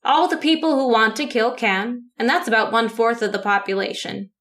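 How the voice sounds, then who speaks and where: A woman's voice: a little high, brisk, a bit bright. An actress playing a chatty, officious and obliging woman clerk in a radio drama, fussily repeating herself. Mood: satisfied.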